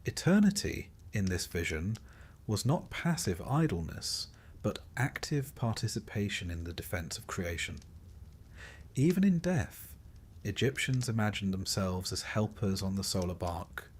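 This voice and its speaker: A man reading a scripted history narration for a sleep story, in a measured, carefully phrased storytelling manner. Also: low-pitched, slight background noise.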